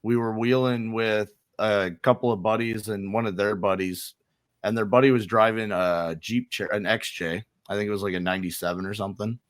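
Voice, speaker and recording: A man with an American accent and a somewhat deep pitch tells anecdotes in a relaxed conversational style. He is informal and slangy and swears now and then. The recording is dry, without audible room sound. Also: brisk, no room sound. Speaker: podcast co-host. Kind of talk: casual anecdotal storytelling.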